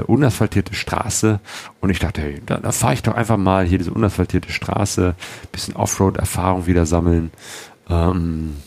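A man with a low, deep voice tells about his motorcycle journey in a loose, rambling way. He fills his sentences with ums and ahs and often starts over, like someone just talking to the camera. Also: a little mellow. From Germany. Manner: rambling, many fillers and restarts. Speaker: motorcycle travel vlogger. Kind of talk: casual travel vlog talk.

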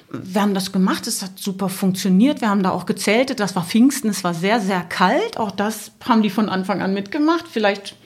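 A middle-aged woman telling anecdotes casually as a podcast guest. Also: medium pitch. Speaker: podcast guest, travelling mother.

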